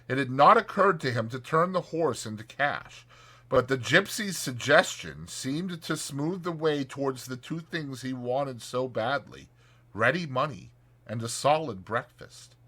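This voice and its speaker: A man narrating a classic storybook as an expressive, scripted audiobook reading. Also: mid-range voice, unhurried, breathy edge.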